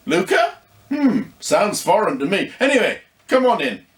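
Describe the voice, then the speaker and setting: A man voicing a brave, brisk, bossy middle-aged woman shopkeeper in a storybook reading. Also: sits high, very animated, echoey room, distant mic, voice pushed up. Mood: brisk, curious. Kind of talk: audiobook storytelling.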